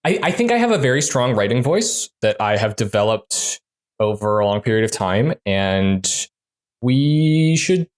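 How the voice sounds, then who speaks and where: A man who designs games, talking casually in an interview, full of fillers and quick restarts. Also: lowish voice, very animated. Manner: chatty, many fillers and restarts. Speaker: game designer guest.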